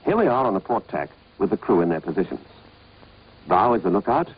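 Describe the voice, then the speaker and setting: Male British narrator for an instructional film, lowish pitch, animated clipped scripted read, muffled vintage sound. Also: brisk, slightly rough. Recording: dull, band-limited old film soundtrack.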